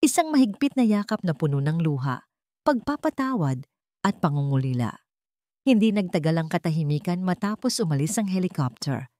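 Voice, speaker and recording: A woman's voice: on the low side, very animated, normal speed, mellow, dry recording, clean studio sound. A woman reading a scripted story as its narrator, in a dramatic storytelling style.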